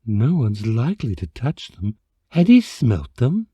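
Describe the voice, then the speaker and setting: Man putting on an animated, fretful genteel wife. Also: mid-range voice, a little slow, slightly rough, no echo, heavily acted. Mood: exasperated. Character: genteel married woman, anxious, exasperated by smelly cheeses.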